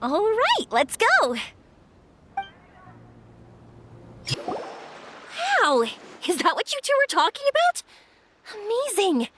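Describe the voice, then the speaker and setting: An actress playing a cheerful, kindhearted young woman in a video game, chatty, expressive and excitable. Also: extremely high pitch, moderate pace, a little hiss or hum, exaggerated voice. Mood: excited, amazed. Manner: bubbly, expressive, talkative.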